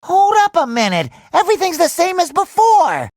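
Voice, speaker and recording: A man voicing a cheerful, naive, excitable young male cartoon sea sponge in a video game, with a nasal, squeaky, childlike and eager delivery. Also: normal speed, no echo, studio quality, exaggerated voice. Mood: nervous, relieved.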